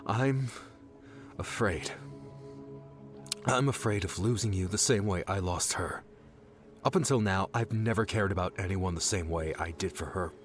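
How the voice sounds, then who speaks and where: Man acting a low, touchy, guarded young hero. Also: measured pace, long pauses, soft background music. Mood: fearful, confessing. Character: touchy, guarded young male guardian, exasperated, secretly tender. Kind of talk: audiobook character dialogue.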